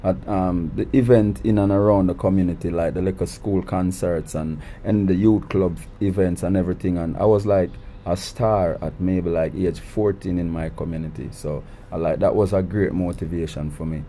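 Jamaican man, very low voice, laid-back, faint background hiss. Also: moderate pace, hardly any pauses. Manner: relaxed, repeats words when starting sentences. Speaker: guest artist. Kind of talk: casual interview. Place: recording studio.

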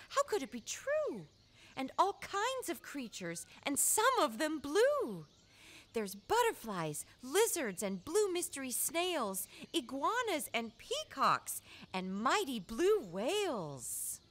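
Woman as a high, wide-eyed little bear cub. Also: huge pitch swings, takes it slowly, a bit bright, exaggerated voice. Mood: amazed. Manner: wide-eyed, wondering, earnest. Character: small timid but eager young blue bear cub.

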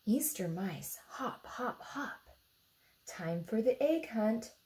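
A woman in her thirties with an American accent, reading a picture book aloud to young children expressively, pausing to ask them simple questions. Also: fairly high pitch, animated, darkish tone. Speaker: storytime reader for children. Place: indoor room beside a book display.

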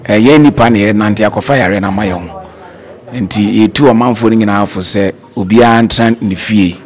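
A man's voice: on the low side, darkish tone. A middle-aged man with a Ghanaian accent, a pastor explaining the church's efforts indoors into a handheld microphone. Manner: measured, explanatory, with English terms.

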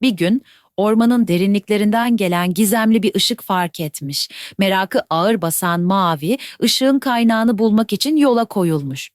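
Gentle woman telling a bedtime tale. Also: medium pitch, moderate pace, dry recording, clean studio sound. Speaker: storyteller. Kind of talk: scripted bedtime fairy-tale narration.

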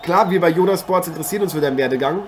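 A man playing an eager, chatty boxing commentator and interviewer in an improvised radio play, polite and halting. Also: on the high side, natural voice. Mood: excited, welcoming. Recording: noisy, with arena ambience behind.

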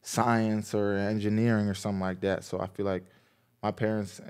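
A man in his twenties from Massachusetts, a guest in a casual podcast interview, telling stories in a relaxed, laid-back way with lots of "like.". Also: lowish voice, normal speed, smooth. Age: 20s. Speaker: guest, pro football player. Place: small indoor podcast studio. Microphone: boom mic on arm beside him.

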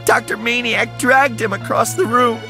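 A man's voice: very high voice, steady tone, moderate pace, gravelly, over music, voice pushed up. A man voicing a distraught young girl in an audiobook, tearful and insistent, with a breathless, choked delivery. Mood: panicked. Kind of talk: audiobook character acting.

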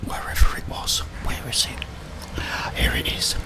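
A middle-aged British man whispering ASMR game commentary in short murmured reactions, close to the microphone. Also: a little slow. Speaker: gaming streamer.

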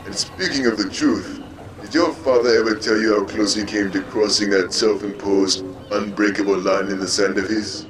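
A man playing a calm, sinister, manipulative villain in a comic-book drama, measured and insinuating. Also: fairly low pitch, over music, natural voice, low-quality recording. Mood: smug, calm. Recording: clear background ambience noise.

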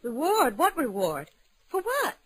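An actress playing an anxious, questioning young small-town wife in 1940s radio drama style. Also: female voice, high voice, huge pitch swings, unhurried, fairly bright tone, full character voice. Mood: puzzled, insistent. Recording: noisy old radio recording.

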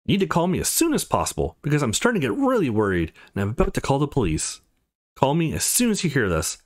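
A man's voice: medium pitch, wildly swooping pitch, fast. A man voicing a worried, caring, motherly woman in a visual novel, speaking earnestly and affectionately.

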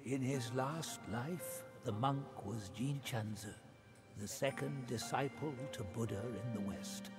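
A man giving scripted cinematic narration for a video game, measured and solemn. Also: medium pitch, natural voice. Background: game music.

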